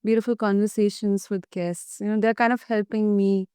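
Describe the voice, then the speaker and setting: A woman hosts an interview, affirming and enthusiastic with her guest. Her voice is smooth and clear at a mid pitch. The sound is dry and close, as on a video call. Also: flat delivery, moderate pace, warm tone, no echo. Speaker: podcast host. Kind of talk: casual interview talk.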